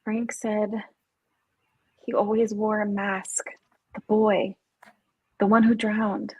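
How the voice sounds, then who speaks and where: A woman's voice: medium pitch, level tone, takes it slowly, a little mellow, husky, low-quality recording. A woman reading the part of a frightened but take-charge young camp counselor in a live slasher script read. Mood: uneasy.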